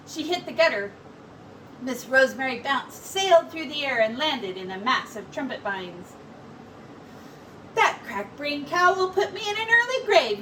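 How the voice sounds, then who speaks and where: A woman teacher reads a picture book aloud slowly, her voice bright and lively as she rises and dips through the story. She leans into each line with expressive storytelling. The mic sits a little away from her, with faint hiss behind. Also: high-pitched, far from the mic, low-quality recording.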